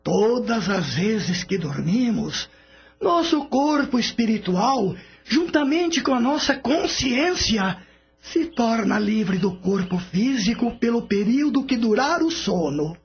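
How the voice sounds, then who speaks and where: Slow, creaky older spirit. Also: male voice, very high-pitched, very animated, heavily acted. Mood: serene, instructive. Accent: Brazilian. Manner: didactic, measured explaining. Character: serene older male spirit, patient teacher. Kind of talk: radio drama acting. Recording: dull lo-fi radio recording with music. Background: music.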